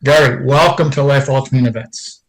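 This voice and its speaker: Male podcast host, mid-pitched voice, upbeat, encouraging. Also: steady tone, moderate pace, low-quality recording. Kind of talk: scripted introduction and interview.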